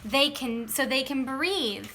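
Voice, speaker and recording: A woman reading a children's picture book aloud in an expressive, dramatic way, stretching words for emphasis and moving between hushed phrases and bursts. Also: high voice, slow, a little hiss or hum.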